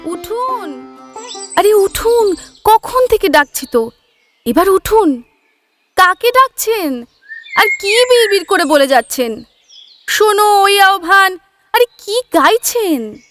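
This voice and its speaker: An actress playing a caring nurse in an audio drama, gently scolding and coaxing her patient. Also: very high-pitched, sing-song, takes it slowly, long pauses, heavily acted. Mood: impatient coaxing. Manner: chiding but kindly, coaxing. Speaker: nurse character. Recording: music under the voice.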